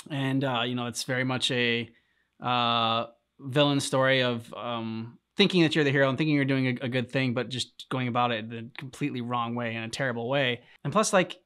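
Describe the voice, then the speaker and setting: A man with a mid-range voice talks casually as co-host of a reaction channel. He thinks out loud, halting between short fragments as he works through his opinion. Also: mid-range voice, fairly even intonation, smooth. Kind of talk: casual discussion of a video game story.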